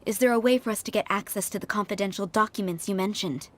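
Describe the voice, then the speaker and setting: An actress playing a composed, enigmatic woman in a video game, speaking in a measured, solemn and persuasive way. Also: mid-range voice, slight background noise, close to an everyday voice. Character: composed, enigmatic woman preaching judgment and atonement. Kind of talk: dramatic video game voice acting.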